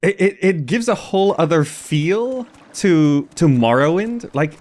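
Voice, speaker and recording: A man's voice: a little high, lively intonation, measured pace, a little mellow. A man hosting a gaming video with casual, off-the-cuff commentary, often repeating words as he muses aloud.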